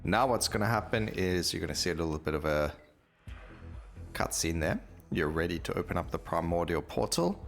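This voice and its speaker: Deep male voice, casual gaming host, explains choices aloud, game music behind. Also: big pitch swings, brisk, vocal fry. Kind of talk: casual gameplay commentary. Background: video game music.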